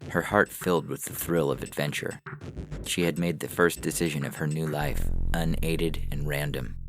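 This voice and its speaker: A man's voice: a bass voice, fairly even intonation, moderate pace, noisy background. A man reading scripted science fiction narration as a storyteller.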